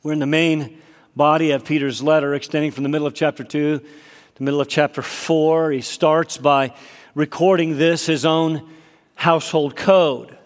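A man preaching to his congregation, his voice a bit nasal from a cold. He sits fairly high for a man and climbs further when he stresses a point. The sound rings with long reverb through the church hall. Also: steady tone, moderate pace. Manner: emphatic, rising for key points. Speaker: pastor. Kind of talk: sermon. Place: church sanctuary.

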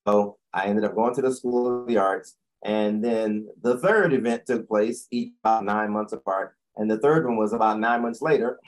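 A man in his sixties with a deep voice, telling a long personal story as an interview guest, often correcting himself as he goes. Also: normal speed, few pauses, rough recording. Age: 60s. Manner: rambling, self-correcting storyteller.

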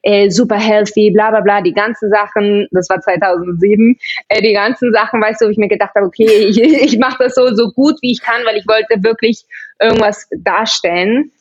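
A woman's voice: mid-range voice, steady tone, moderate pace, slightly rough, lo-fi sound. A woman in her thirties from Barcelona, chatting as an interview guest, laughing often and restarting her sentences. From Barcelona, Spain. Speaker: podcast guest. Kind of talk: casual interview chat.

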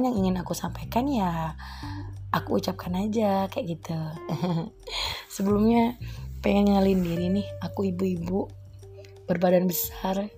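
A woman's voice: medium pitch, mellow. A woman talks alone into her podcast mic, in a mid-height voice that wanders and trips over itself. She giggles often and pokes fun at herself as she goes. Faint music sits under her voice. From East Java, Indonesia. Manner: giggly, rambling, self-deprecating. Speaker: housewife podcaster. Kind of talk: casual solo podcast monologue.

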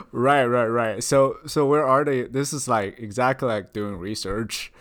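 A man's voice: medium pitch, steady tone, unhurried, fairly bright tone. A young man co-hosting a podcast as a grad student, chatting casually with lots of fillers and repeated words. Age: young adult. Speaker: podcast co-host. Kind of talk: casual chat.

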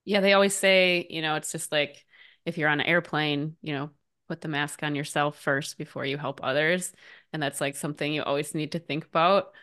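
A woman host with a low voice talks casually and supportively. She rambles a little and uses many "like" and "um" fillers as she frames her questions. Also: steady tone, fairly quick, a bit bright. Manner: supportive, lots of "like" and "um" fillers, frequent affirming "mm-hmm". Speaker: podcast host. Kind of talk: casual interview chat.

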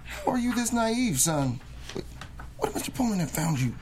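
A man's voice: sits high, sing-song, normal speed, background noise. A man playing a stern older porter scolding his son in a table read of a period drama. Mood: angry, incredulous.

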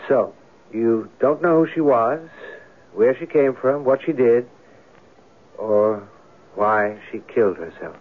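An actor playing a proud, troubled writer in a radio drama, speaking in an articulate, insistent, theatrical way. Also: male voice, medium pitch, takes it slowly, pauses often. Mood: probing. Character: intense, troubled male writer, proud and brooding. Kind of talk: old-time radio drama acting. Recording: narrow, tinny old radio recording.

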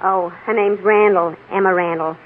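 An actress playing a gossipy, middle-aged American housewife, garrulous and self-important, in 1940s radio drama style. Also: female voice, mid-range voice, steady tone, moderate pace, slight background noise, close to an everyday voice. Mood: eager, chatty. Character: gossipy, nosy, fluttery housewife and eager neighbourhood witness. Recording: muffled, narrow-band old radio recording.

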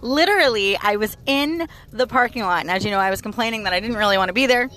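A hoarse, tired-sounding woman in her thirties chatting casually to listeners while driving her car. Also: fairly high pitch, fast, a bit bright. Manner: chatty, bubbly, addresses listeners as "you guys". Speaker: audio blog host. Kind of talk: casual daily vlog chat. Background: car engine and road noise.

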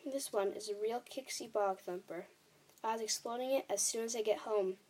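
A woman voicing an excitable, kindly male giant in a children's storybook, emphatic and exclamatory, with quaint muddled grammar. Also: medium pitch, normal speed, close to an everyday voice. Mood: indignant, vehement.

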